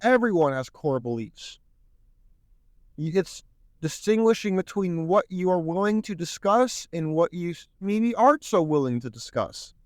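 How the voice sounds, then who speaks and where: A man's voice: sits high, sing-song, moderate pace, dry recording, studio quality. A man hosting a solo podcast, speaking earnestly and a bit preachily while he is losing his voice. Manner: earnest, preachy, repeats "my friends". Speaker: podcast host.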